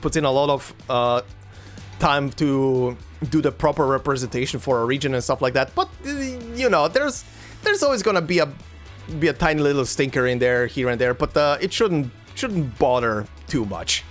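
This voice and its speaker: A Croatian man whose English mixes American and British with a slight German tinge, hosting a let's play and chatting casually with viewers, halting with many fillers and repeated words. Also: medium pitch, animated, a bit bright, faint music behind. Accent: mix of American and British English, slight German tinge.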